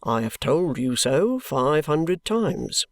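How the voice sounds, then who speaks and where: A reader voicing a stern, unyielding police constable who speaks slowly and firmly. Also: mid-range voice, sing-song, no room sound, clean studio sound. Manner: firm, slow and weighty.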